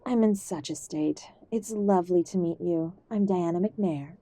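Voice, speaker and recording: Give the part to an affectionate, ailing older mother played by a woman in an audio drama. Her voice is soft-edged and mellow, recorded close and dry. She fusses gently, old-fashioned and stubbornly hopeful. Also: fairly low pitch, unhurried, no echo. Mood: gracious, coy. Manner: gentle, fussing, uses endearments.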